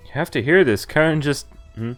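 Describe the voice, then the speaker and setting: A man's voice: on the high side, fairly quick, soft background music. Man reading a visual novel aloud. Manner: reads text aloud, breaking off with offhand asides; loud, exaggerated yelps when voicing pained lines. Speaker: streamer.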